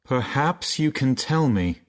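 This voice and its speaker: Man voicing an earnest, slow-speaking storybook seeker. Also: mid-range voice, animated, pitched above the performer's own voice. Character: earnest, anxious man on a desperate quest.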